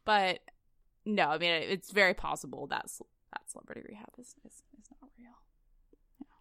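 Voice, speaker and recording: A woman's voice: lowish voice, lively intonation, a little fast, gravelly, pushed and bright. A woman co-hosts a casual podcast chat, her voice swinging up and down as she plays along and laughs easily. The sound is dry, with no room echo. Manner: giggly, playful. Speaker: podcast co-host.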